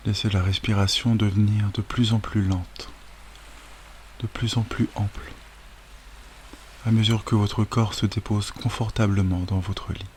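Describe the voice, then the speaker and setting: A man guiding a sleep meditation as a yoga nidra teacher, speaking calmly and soothingly with long, unhurried pauses. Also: lowish voice. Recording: steady wave noise over the voice. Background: sound of ocean waves.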